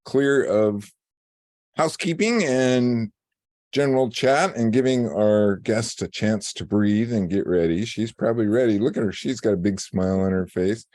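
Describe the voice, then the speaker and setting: A man co-hosting a radio talk show, chatting casually and joking with a low voice. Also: normal speed, a little mellow, no echo. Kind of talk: casual chat.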